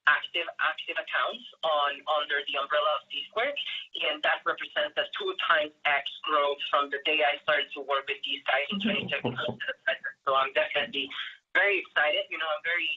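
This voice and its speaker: Man from guatemala, webinar speaker, earnest and thankful, repeats words, higher voice, muffled audio. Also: brisk, slightly rough. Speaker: agency partner presenting in a webinar. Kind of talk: corporate webinar presentation.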